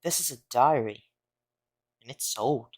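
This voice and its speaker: A woman's voice: a bass voice, measured pace, slightly rough, heavily acted. A woman playing a plucky, teasing young boy wizard in an audio drama, with a dryly joking manner. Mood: puzzled.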